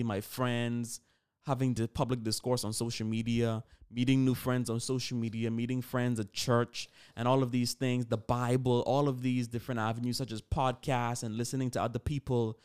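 A man's voice: medium pitch, flat delivery, normal speed, darkish tone, smooth. An eighteen-year-old man hosting a solo podcast, talking casually and informally, often correcting himself as he goes. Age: 18 years old. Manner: informal, conversational, self-correcting. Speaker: podcast host.

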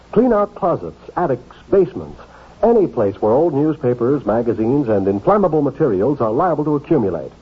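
A man's voice: medium pitch, breathy edge. A man reading a scripted 1950s radio safety announcement in an emphatic, solemn announcer's manner. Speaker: public service announcer. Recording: tinny, phone-like old radio recording.

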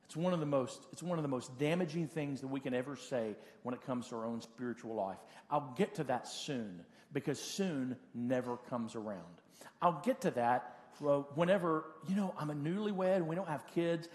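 A man in his late forties preaching a conversational sermon as a pastor, giving practical advice in a halting way and repeating phrases for emphasis. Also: mid-range voice. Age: late 40s. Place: church. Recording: hall reverb of a large room.

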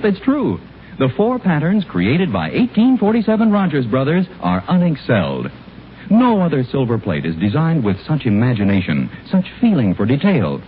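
A man's voice: medium pitch, slight background noise. A man working as a 1940s radio announcer, delivering scripted lines with dramatic, polished emphasis. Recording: thin, narrowband old radio recording.

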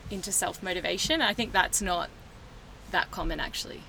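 A woman's voice: lowish voice, a little fast, vocal fry, sharp tone, a little hiss or hum, poor audio. A woman from Australia, a guest in a casual chat, thoughtful, with many "like" and "you know" fillers.

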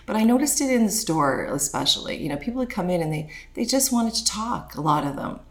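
A middle-aged woman, hoarse from talking too much, chatting as a podcast guest about her shop, with many fillers. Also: expressive, fairly quick, fairly bright tone. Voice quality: deep, raspy voice. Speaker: guest, shop and cafe owner. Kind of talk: casual podcast conversation.

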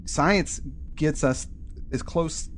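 A man's voice: fairly high pitch, drawn-out words, slight background noise. A man co-hosting a podcast, explaining things in a casual, conversational way during a book discussion. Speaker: podcast co-host.

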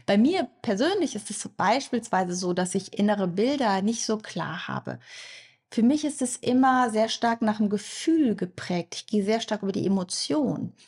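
A woman's voice: mid-range voice, animated, normal speed, slightly rough. A woman hypnosis coach explaining to the listener in a podcast, often speaking the listener's inner thoughts aloud. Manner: warm explanatory, addresses listener directly, acts out inner thoughts. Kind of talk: podcast monologue.

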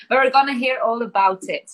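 A woman's voice: a little high, slight background noise, low-quality recording. Upbeat woman hosting a podcast. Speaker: podcast host. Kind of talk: podcast interview.

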